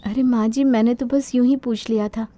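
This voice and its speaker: Woman as a meek, high-voiced young bride. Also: steady tone, normal speed, mellow, no echo, natural voice. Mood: timid, puzzled. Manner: polite, mildly protesting.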